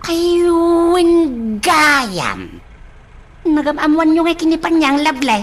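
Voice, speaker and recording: A woman playing a chatty, excitable household helper in an Ilocano comedy radio drama, exclamatory and theatrical, with an Ilocano accent. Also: high-pitched, slow pace, exaggerated voice. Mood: indignant surprise. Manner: exclamatory, theatrical, repeats catchphrases.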